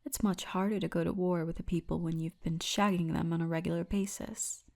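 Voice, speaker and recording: Woman playing a soft, mellow female orc guide. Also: on the low side, fairly even intonation, natural voice. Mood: amused, gossipy. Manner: soft, intimate, unhurried storytelling, often breathy. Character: friendly, teasing female orc tour guide, gentle and candid. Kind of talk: ASMR roleplay, addressed to the listener.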